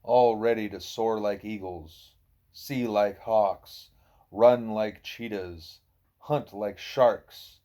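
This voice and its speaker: A man voicing a wise, patient gorilla teacher who lectures in a deliberate, formal way. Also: on the low side, steady tone, mellow. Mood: patient lecturing.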